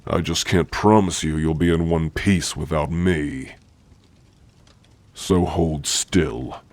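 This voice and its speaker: A man voicing a stern, gruffly caring orc warrior-healer in an ASMR roleplay, speaking in a formal, commanding way. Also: extremely low pitch, steady tone, slow pace, darkish tone, dry recording. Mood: gruff, guarded. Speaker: roleplay voice actor.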